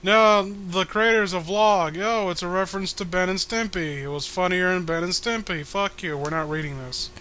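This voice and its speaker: A man's voice: sits high, normal speed, few pauses, sharp tone. A man co-hosting a comic read-along with casual, crude banter, swearing and rambling as he jokes. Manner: profane, joking, rambling.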